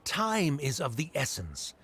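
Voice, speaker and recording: An actor playing a cold, haughty middle-aged scholar in a video game, formal, curt and condescending. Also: male voice, medium pitch, huge pitch swings, measured pace, a bit bright, breathy edge, exaggerated voice. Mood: dismissive. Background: music.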